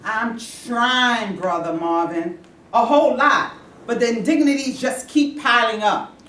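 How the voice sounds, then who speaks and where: A woman's voice: fairly high pitch, unhurried, a bit bright. A woman acting an exasperated, impatient civil rights activist in a staged play reading, emphatic and fed up. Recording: echoey, noisy stage recording from far off.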